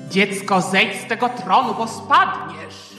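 A woman's voice: medium pitch, animated, takes it slowly, sharp tone. A woman voicing a grumbling, condescending grown man who will be king, in a children's history story. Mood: scolding. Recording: echoing hall effect, music behind.

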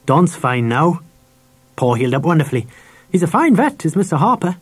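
A man's voice: fairly high pitch, very animated, brisk, husky, pitched above the performer's own voice. A man voicing a talkative, opinionated middle-aged schoolmaster in an audiobook, with an emphatic teacherly manner. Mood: chatty opinionated.

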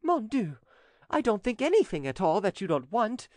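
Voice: medium pitch, sing-song, normal speed, a little mellow, no echo, clean studio sound, voice pushed up, lightly acted. A narrator voicing a timid yet insistent, doting French widow in an audiobook story. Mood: indignant, pleading.

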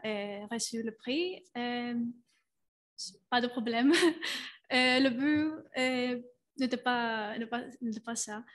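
Slow, halting female student. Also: high-pitched. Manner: halting, many filler pauses. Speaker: university student. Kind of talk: hesitant prepared remarks.

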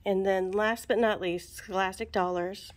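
A woman's voice: medium pitch, steady tone, unhurried. Chatty woman giving a register walkthrough. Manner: chatty, with frequent ums, asides and self-corrections. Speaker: book fair volunteer trainer. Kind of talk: casual how-to walkthrough. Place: school book fair checkout counter, indoors. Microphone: phone camera held over the register.

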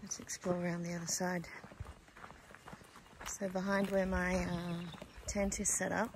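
A woman's voice: on the low side, little pitch movement, a little slow, rough recording. A woman giving casual, off-the-cuff commentary as she explores a campsite, musing aloud and trailing off. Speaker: camper filming a walk-around vlog. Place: outdoors at a bush campsite. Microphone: handheld phone or camera, behind the lens. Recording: outdoor handheld recording with background noise.

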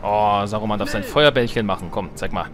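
A man's voice: fairly low pitch, brisk. Man casually commentating over game music. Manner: joking asides, musing to himself. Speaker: let's player. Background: strategy game music and sounds.

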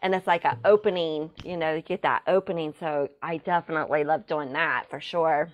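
A woman's voice: deep voice, steady tone, fairly quick, lo-fi sound. A woman co-hosting a casual spiritual chat, rambling and full of fillers and laughter. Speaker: co-host.

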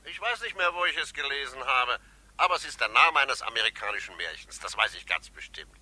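An actor playing a curt, matter-of-fact official in a radio drama. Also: male voice, medium pitch, animated, fast talker, bright, forceful tone.

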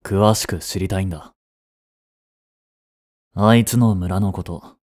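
A man's voice: low-pitched, fairly even intonation, slow, darkish tone, no room sound, clean studio sound. A man playing a cold, haughty young prince in a romantic audio drama, curt and imperious but secretly protective. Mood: subdued, brooding.

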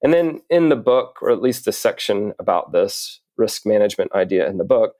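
A man speaking as an interview guest, explaining in a measured, articulate way with deliberate pauses. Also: lowish voice, animated, warm tone, dry recording. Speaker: podcast guest, writer and coach.

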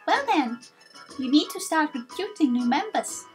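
A woman doing a high, chirpy helper creature. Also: lively intonation, moderate pace, soft background music. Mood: cheerful, helpful.

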